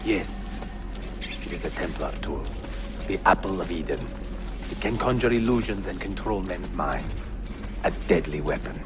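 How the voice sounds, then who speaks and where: An actor playing a grave, composed young medieval assassin leader in a video game, speaking in a solemn, formal manner. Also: male voice, low-pitched, animated, measured pace, long pauses, music in the background. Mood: calm, persuasive. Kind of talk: video game cutscene acting.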